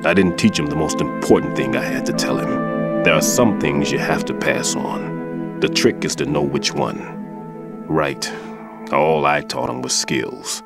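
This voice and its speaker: An actor playing an older male bomb disposal expert, a patient mentor who explains things in a measured, instructive way in a game cutscene. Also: rumbling low. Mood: calm instructive. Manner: instructive, reflective. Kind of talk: video game cutscene dialogue. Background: music score.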